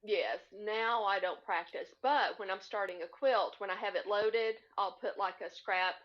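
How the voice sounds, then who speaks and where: A woman's voice: mid-range voice, fairly even intonation, unhurried. A woman answering as a podcast guest in a casual, matter-of-fact way over dull call audio. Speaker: podcast guest, hobby quilter. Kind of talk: casual interview chat. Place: remote split-screen video call.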